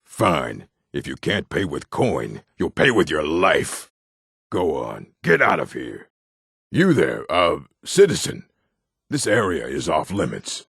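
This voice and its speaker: Gruff burly orc, rock-bottom pitch, husky rasp, surly, blunt, deliberate, lively intonation, video game dialogue. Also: male voice, no echo, clean studio sound, full character voice. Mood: menacing. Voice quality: deep, gravelly, husky.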